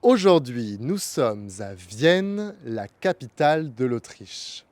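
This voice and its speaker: A man presents a video episode, his voice rising and falling with lively pitch. He speaks slowly and deliberately, close and dry, with faint music underneath. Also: no room sound. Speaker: host. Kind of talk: scripted intro.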